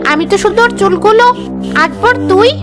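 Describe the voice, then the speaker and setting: A woman's voice: extremely high pitch, fairly quick. A woman voicing a scheming female ghost with magical long hair in a cartoon, sweet-talking one moment and sly and vengeful the next. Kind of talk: cartoon voice acting. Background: background music.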